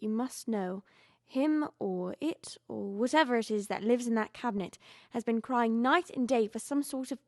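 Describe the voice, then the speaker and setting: A woman's voice: on the high side, no room sound, studio quality, lightly acted. A young woman playing a loyal household servant in an audio drama, earnest, deferential and agitated. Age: young adult. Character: frightened, insistent loyal female household servant.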